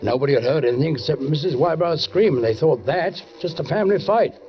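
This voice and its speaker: Male actor as police sergeant, fairly high voice, deliberate, suspicious, old radio drama, dull recording with music. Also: lively intonation, lightly acted. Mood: grim, ominous. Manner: measured, dramatic, with pointed pauses. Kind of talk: 1940s radio drama acting.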